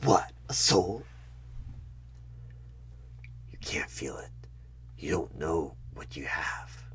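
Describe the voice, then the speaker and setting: A man playing a sinister devilish tempter in a horror ASMR roleplay, slow and coaxing with a breathy, husky half-whisper and menace. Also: extremely low pitch, exaggerated voice. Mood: sly, coaxing. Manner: slow, menacing, coaxing, with sudden loud bursts.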